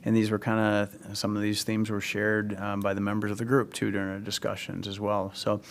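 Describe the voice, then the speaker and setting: Low-voiced man presenting with many fillers. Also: completely flat delivery, a little fast. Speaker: community group member. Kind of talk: presenting survey findings. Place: public meeting room.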